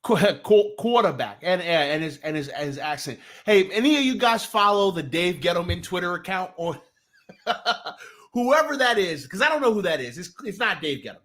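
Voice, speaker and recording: A man's voice: high voice, expressive, moderate pace, a bit bright. A young man from New Jersey with a Jersey accent, hosting a casual live sports talk stream. He talks loosely and profanely, restarting sentences and greeting his chat.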